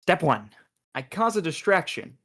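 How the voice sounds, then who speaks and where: A man's voice: fairly high pitch, very animated, moderate pace, bright, forceful tone, exaggerated voice. A man playing a smug, chatty, scheming agent in a sci-fi comedy table read, with a glib, dramatic manner. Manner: glib, dramatic, stammers when cornered.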